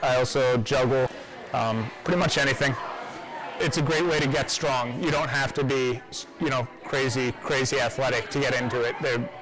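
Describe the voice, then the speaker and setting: A man's voice: medium pitch, fairly even intonation, normal speed, over music, poor audio. Laid-back man, studio co-owner. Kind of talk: casual interview.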